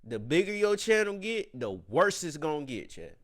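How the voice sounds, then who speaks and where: Animated slangy man talking to chat. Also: a little high, moderate pace. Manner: emotional, says he has tears in his eyes. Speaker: reaction channel host. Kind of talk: casual reaction commentary to viewers.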